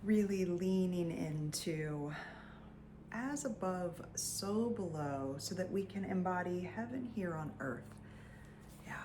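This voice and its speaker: Slow, soothing woman guiding meditation. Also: lowish voice, expressive, noisy background. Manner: soothing, unhurried, with long pauses between phrases. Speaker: meditation guide and energy healer. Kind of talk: guided meditation.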